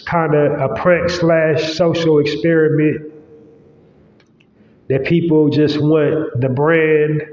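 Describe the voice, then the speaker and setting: A man hosting his own podcast, talking casually and loosely with lots of fillers, his nose stuffy and congested. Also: a little high. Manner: loose, rambling, with many fillers. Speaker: podcast host. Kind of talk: casual solo podcast monologue. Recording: roomy, long-echo, slightly dull sound.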